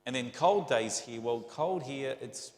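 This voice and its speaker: A man's voice: medium pitch, lively intonation, measured pace, darkish tone, roomy sound, big-room sound. A middle-aged man with a New Zealand accent preaching a conversational, rambling sermon full of rhetorical questions. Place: church.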